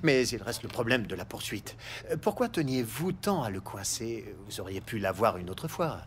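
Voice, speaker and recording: An actor playing a dogged, suspicious police investigator with a formal way of talking in a French audio drama. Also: male voice, mid-range voice, sing-song, normal speed, a little hiss or hum, natural voice. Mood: probing, formal. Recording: music behind the voice.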